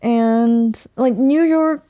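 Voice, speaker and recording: A woman's voice: a little high, very slow, dark tone. A woman singer-songwriter chatting in a casual interview, talking thoughtfully and laughing often.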